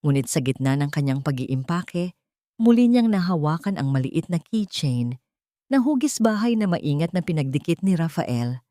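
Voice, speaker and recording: A woman narrating a dramatic audio story in a measured, steady way. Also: sits low, no room sound, studio quality.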